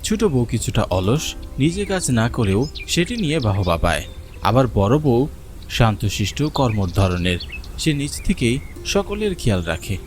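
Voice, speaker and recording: A man reading scripted narration for a fairy-tale story. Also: on the low side.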